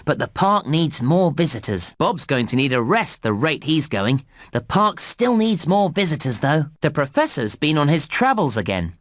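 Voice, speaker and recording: A man's voice: on the high side, sing-song, normal speed, few pauses, no echo, studio quality. A man voicing an upbeat, encouraging theme park guide in a children's game, with bouncy, exclamatory scripted delivery. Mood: helpful, instructive.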